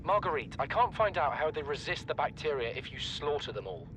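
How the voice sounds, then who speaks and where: A man's voice: a little high, big pitch swings, brisk. A man playing an earnest, studious young scientist in a video game, pleading as a peacemaker. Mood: pleading, firm. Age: young adult. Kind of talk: dramatic game voice acting. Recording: clear ambient background noise.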